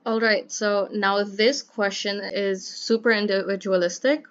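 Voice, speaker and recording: Young woman, student interviewer, upbeat and encouraging, mid-pitched, muffled lo-fi sound. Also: steady tone, measured pace. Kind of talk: casual interview hosting.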